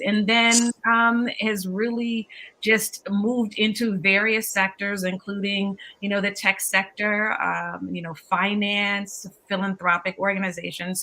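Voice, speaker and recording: A woman in her fifties introducing herself on a panel, earnest and measured, with many fillers. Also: lowish voice, sharp tone.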